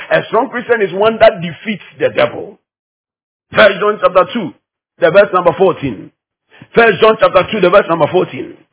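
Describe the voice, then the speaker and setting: Ghanaian guy preaching, high pitched voice that swings up and down a lot, really emphatic. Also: creaky, a bit bright, breathy edge, poor audio. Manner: emphatic, repeats phrases for effect. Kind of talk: teaching sermon.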